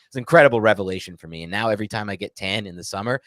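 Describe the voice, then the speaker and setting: Male American sports co-host. Low register, rapid delivery, emphatic and opinionated, loose casual chat. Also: a bit bright, no room sound. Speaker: sports talk show co-host. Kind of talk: casual live Q&A chat about American football.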